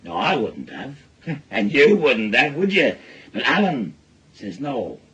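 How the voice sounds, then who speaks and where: Bitter Northern English husband, sharp-edged voice. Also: male voice, mid-range voice, very animated, slow pace, breathy edge, full character voice. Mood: sardonic, bitter. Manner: goading, rambling storyteller with taunting tag questions. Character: bitter, menacing wronged husband and father with a gun. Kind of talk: naturalistic radio drama acting.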